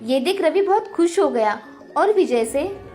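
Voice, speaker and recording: A woman narrates a children's tale from a script. Her voice is very high and highly animated, with expressive storytelling delivery and music underneath. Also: a little slow.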